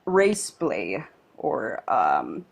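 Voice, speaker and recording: A woman speaking as a panelist, choosing her words slowly with many ums. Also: a bass voice, wildly swooping pitch, creaky, breathy edge. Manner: hesitant, many ums, deliberate. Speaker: dominatrix panelist. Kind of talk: casual panel discussion.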